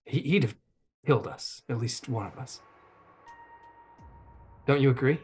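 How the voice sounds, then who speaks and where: A man playing a tabletop roleplaying game, moving casually between describing his actions and speaking in character, in a gravelly, husky voice. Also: mid-range voice, measured pace, darkish tone, faint music behind.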